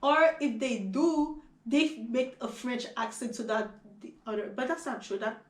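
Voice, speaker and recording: A young woman from Haiti with a Haitian accent, a Creole speaker, chatting as an interview guest, animated and full of fillers and restarts. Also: on the high side, measured pace, reverberant, distant mic. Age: young adult. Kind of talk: casual conversation.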